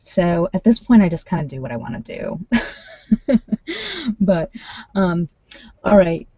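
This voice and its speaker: A woman's voice: fairly low pitch, expressive, unhurried, mellow. A woman chatting casually and informally to a live online audience, rambling a little as she thinks aloud. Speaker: ASMR creator hosting a live workshop session with tarot readings. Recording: muffled, roomy sound.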